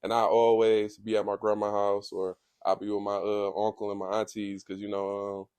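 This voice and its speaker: A young man with a Detroit accent, telling stories about his upbringing in a laid-back, slang-heavy way, with a low, calm voice. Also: normal speed, clean tone, no echo. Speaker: guest, comedian. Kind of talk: casual interview chat.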